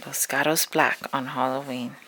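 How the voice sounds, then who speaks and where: A woman reading a spooky children's poem aloud in a dramatic, hushed storytelling manner, stretching words and dropping into whispers. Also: very deep voice, level tone, moderate pace, noisy background. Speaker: storybook reader.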